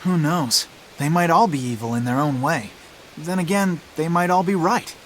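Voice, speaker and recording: Man acting teenage boy, video game, higher male voice, sceptical, casual, slight hiss. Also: normal speed, a bit bright, close to an everyday voice. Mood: calm, sceptical. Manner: casual, reasoning. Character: level-headed, sceptical, streetwise teenage boy.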